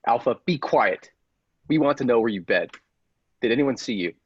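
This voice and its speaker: A man's voice: mid-range voice, animated, normal speed, slightly rough, dry recording, dull audio. A man playing a persuasive, controlling scientist in an old-style radio play, with a commanding, insistent manner. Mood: stern. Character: persuasive, controlling male laboratory scientist with dangerous ambitions.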